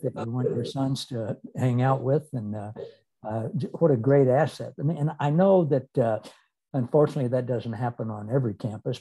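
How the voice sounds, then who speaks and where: An older American man hosting a casual interview, speaking haltingly and appreciatively into a desk microphone. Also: medium pitch, dark tone, breathy edge, poor audio. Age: 60s or older. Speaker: interview host. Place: small indoor room on a video call. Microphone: desk microphone with headset.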